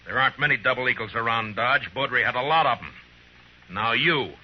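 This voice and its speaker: An American actor playing a stern, world-weary frontier marshal in an old radio western, terse and commanding. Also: male voice, mid-range voice, a little fast, lightly acted. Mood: pressing, stern. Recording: muffled vintage radio recording, music behind.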